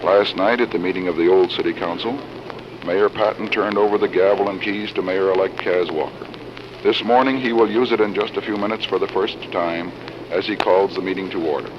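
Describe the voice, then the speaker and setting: A man reporting live on 1940s radio in a formal, measured announcing manner, with a cold. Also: low voice, fairly even intonation. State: has a cold. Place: council chamber. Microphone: broadcast microphone. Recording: noisy, muffled 1940s radio broadcast recording.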